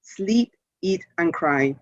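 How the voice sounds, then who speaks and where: A woman author reading a children's story aloud in a measured, expressive storytelling manner. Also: on the low side, dry recording, highs cut off.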